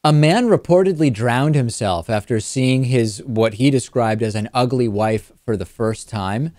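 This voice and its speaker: A man's voice: medium pitch, measured pace, darkish tone, no room sound. A man hosting a talk show, giving conversational political commentary.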